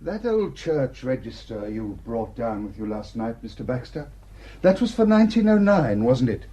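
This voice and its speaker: An actor playing a courteous, shrewd village rector in a radio drama, speaking in a polite, measured, probing way. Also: male voice, mid-range voice, very animated, warm tone, slightly rough, dull audio. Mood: gently accusing.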